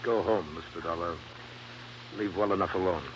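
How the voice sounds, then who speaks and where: A man's voice: sits low, steady tone, normal speed, lo-fi sound, lightly acted. A man acting an impatient, threatening landowner in a 1950s radio drama, speaking sternly and formally. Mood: cold menace. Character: menacing, impatient, powerful landowner warning off an investigator. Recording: noisy, band-limited old radio recording.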